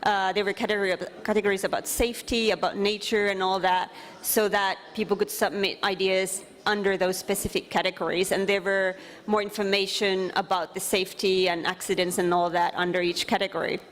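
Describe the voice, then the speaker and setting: A woman from Finland, presenting at a conference into a microphone, earnest, with many pauses and fillers. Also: mid-range voice, little pitch movement. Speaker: conference speaker. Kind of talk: presentation talk. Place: conference hall.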